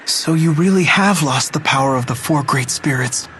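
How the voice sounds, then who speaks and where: An actor voicing an earnest, helpful teenage boy hero in a fantasy game dub, youthful and expressive. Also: medium pitch, normal speed, slight background noise, lightly acted. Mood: concerned, helpful.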